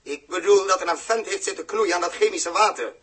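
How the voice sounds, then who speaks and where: A man's voice: high voice, brisk, fairly bright tone, slightly rough. A man acting a fiery, blunt spaceship captain with a Dutch accent and emphatic delivery in a 1960s radio drama. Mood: angry, bitter. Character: fiery male spaceship captain, blunt, indignant, hard-driven. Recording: muffled-edged vintage 1960s radio recording.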